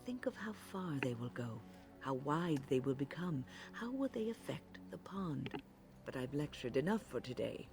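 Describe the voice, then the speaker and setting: An actor voicing a wise, earnest mentor in a fantasy video game, speaking in a measured, solemn, lecturing way. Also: male voice, on the high side, sing-song, over music. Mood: grave, lecturing. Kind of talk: scripted video game dialogue.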